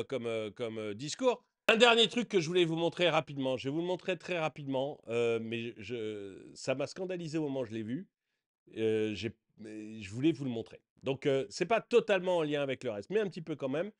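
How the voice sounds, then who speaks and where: A man hosting a commentary channel, reacting to political TV clips with indignation that climbs to shouting and then drops into mumbled fillers. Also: mid-range voice, expressive, a little fast.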